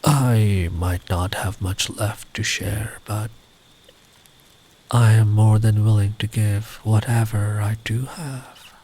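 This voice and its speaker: A man playing a big, kind traveller in the woods in an ASMR comfort roleplay, speaking gently and soothingly, often close to a whisper. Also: lowish voice, fairly even intonation, slow pace. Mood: reassuring. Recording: clear background ambient noise.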